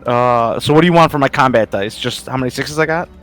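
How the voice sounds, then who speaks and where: A man's voice: mid-range voice, fast talker, fairly bright tone, soft background music. A man chatting casually as a player in a tabletop roleplaying game, with a wry, grumbling manner.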